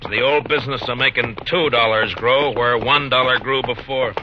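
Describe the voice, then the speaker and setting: An actor with an American accent playing a firm, plain-spoken, weary frontier marshal in a 1950s Western radio drama. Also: male voice, mid-range voice, normal speed, close to an everyday voice. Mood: weary, thoughtful. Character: stern, weary frontier US marshal, fair but tough. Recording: muffled, noisy 1950s radio recording.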